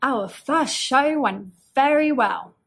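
Woman as a bright-spirited high-pitched storybook donkey. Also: lively intonation, slow, gravelly, slightly rough, full character voice. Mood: jubilant.

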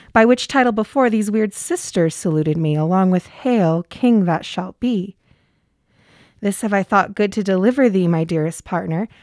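A woman's voice: medium pitch, darkish tone, no room sound, lightly acted. Woman acting a scheming noblewoman, dry close sound. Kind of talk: Shakespearean radio drama acting.

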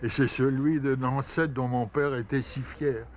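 Elderly male, medium pitch, halting and moved, open-air recording with faint noise. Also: fairly even intonation. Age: 70s or older. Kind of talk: casual remarks in conversation. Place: outdoors in a village cemetery. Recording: outdoor camera sound, faint noise.

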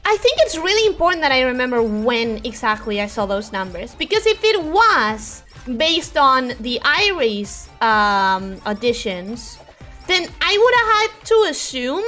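A woman whose first language is Spanish streams a game in a very high-pitched voice. Her delivery is animated, swinging widely in pitch. She chats casually and expressively with her viewers, with music playing behind her. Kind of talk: casual livestream chat over gameplay.

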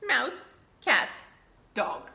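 A middle-aged woman with a bright voice guides small children through storytime. She uses simple phrases and repeats key words at a slow pace. The room is echoey, recorded on a camera mic at a short distance. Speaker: children's librarian leading toddler storytime. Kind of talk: children's storytime, reading aloud and counting along. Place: small indoor room with curtain backdrop. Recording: echoey room with clear background noise.

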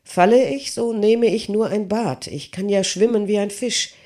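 A reader voicing a clumsy, childlike giant blacksmith who pleads loudly and desperately with his master. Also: mid-range voice, moderate pace, pitched above the performer's own voice, natural voice. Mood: pleading. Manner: raised, loud, desperate pleading.

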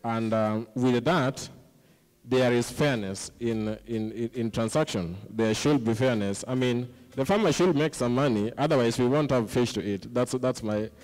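A man's voice: medium pitch, moderate pace, darkish tone. A middle-aged man speaking as a panelist on a conference stage into a handheld microphone. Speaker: panelist, social entrepreneur. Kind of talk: panel discussion remarks. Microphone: handheld microphone close to mouth. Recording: reverberant hall sound.

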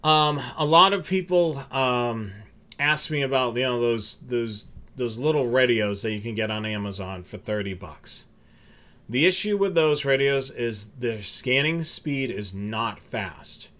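A man's voice: medium pitch, a little slow, bright, forceful tone. American man casually explaining gear. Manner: conversational, practical, explaining step by step. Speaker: host of a railfan show. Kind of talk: casual explanatory vlog talk to viewers. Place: small home office with bookshelves and curtains, indoors.

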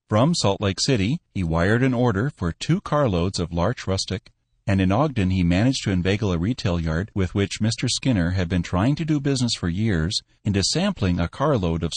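A man's voice: lowish voice, dry recording. A man reading a story aloud as a scripted audiobook narration.

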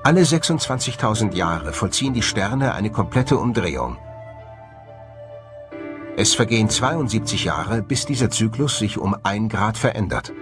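A man delivers scripted documentary narration with lively, wide-moving intonation. His delivery is measured and explanatory, and music plays clearly underneath his voice. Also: on the low side.

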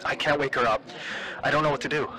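An actor playing an anxious, exasperated husband, urgent and stammering, calling an emergency line. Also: male voice, medium pitch, steady tone, fast talker, pushed and bright, natural voice, poor audio. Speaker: emergency caller.

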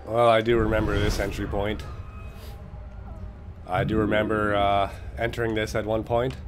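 A man's voice: lowish voice, flat delivery, moderate pace, background noise. A young man with a stuffy nose giving casual, profane let's-play commentary, rambling and taunting enemies on screen. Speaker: gameplay commentator.

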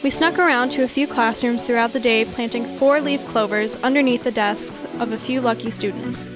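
A woman's voice: fairly high pitch, brisk, music in the background. A teenage female student reporter delivering an upbeat scripted voice-over. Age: teens.

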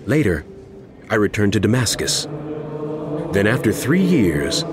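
A stern, earnest apostle in an audio Bible drama. The voice is low and swings expressively with solemn, oratorical emphasis. Music plays underneath. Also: male voice, big pitch swings, a little slow. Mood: earnest recounting. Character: impassioned apostle writing a stern, earnest letter. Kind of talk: dramatized scripture reading over music. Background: background music.

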